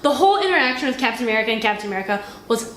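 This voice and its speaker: A woman's voice: on the high side, animated, racing, a bit bright. A woman hosting a movie review in casual, unscripted conversation. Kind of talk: casual unscripted film discussion. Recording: roomy echo, light background noise.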